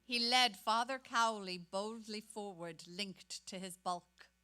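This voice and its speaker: A woman's voice: medium pitch, slow, pushed and bright, clear, resonant tone. A woman reading narrative passages of a novel in a staged dramatic reading.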